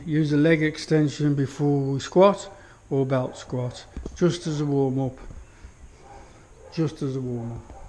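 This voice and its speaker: A man giving a relaxed walkthrough of his home gym, admiring each piece of kit with many pauses and fillers, recorded on a handheld action camera in a small indoor room. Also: fairly high pitch, slight background noise. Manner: unhurried, full of fillers, admiring. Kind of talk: casual walkthrough commentary. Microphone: action camera held while walking.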